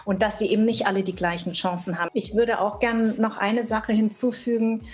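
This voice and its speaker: A woman's voice: medium pitch, flat delivery, a little fast, darkish tone, faint music behind. A woman from Bavaria, around her thirties or forties, discussing in an emphatic, colloquial way on a video call. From Munich, Bavaria, Germany. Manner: emphatic, colloquial, quotes imagined objectors. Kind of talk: opinionated casual discussion. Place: indoor room on a video call.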